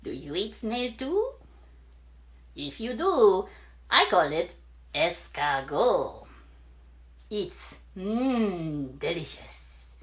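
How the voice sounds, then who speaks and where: A woman's voice: on the low side, very animated, drawn-out words, long pauses, full character voice. A woman voicing a vain, theatrical furry male creature with a French accent in a children's puppet show, preening and drawing out words.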